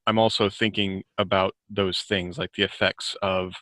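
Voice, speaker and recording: Guy on a podcast, lowish but bright voice, halting and thoughtful, chatting about his work. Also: little pitch movement, no room sound. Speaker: author, podcast guest. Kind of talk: casual group podcast chat.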